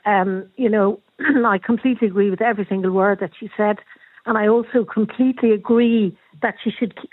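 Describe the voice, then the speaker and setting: A woman's voice: mid-range voice, dry recording. A woman, a school principal, speaks as a guest on a radio discussion. She is firm and informative, with a few ums as she goes. Her voice comes through a tinny, dry phone line.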